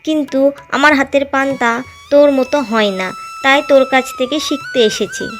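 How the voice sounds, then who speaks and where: An eager young wife in a cartoon village tale asks sincerely for help, voiced by a woman. The voice is high and bright in tone, with faint music behind. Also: wildly swooping pitch, normal speed, full character voice. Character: young wife, the heroine's childhood friend, eager.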